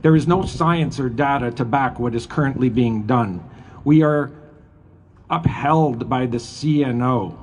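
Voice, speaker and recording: A middle-aged or older man with a Canadian accent gives an earnest, deliberate, emphatic public speech at a live event. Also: mid-range voice, darkish tone. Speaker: retired police officer speaking at a rally. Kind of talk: public speech, partly read from a letter. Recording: live event with background noise.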